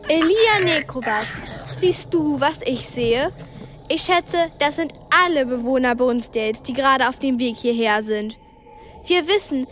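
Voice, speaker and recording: A twelve-year-old girl speaks in a very high, earnest voice. She is brave and resolute through her fear, in radio play style with faint ambience behind. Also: fairly bright tone, a little hiss or hum.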